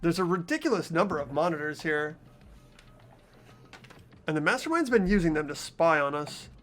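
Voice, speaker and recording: A man's voice: a little high, sing-song, normal speed, a bit bright, soft background music. A man voicing an earnest, anxious teenage boy who narrates a mystery in an amateur game dub. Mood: puzzled. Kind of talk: amateur voice acting of a video game.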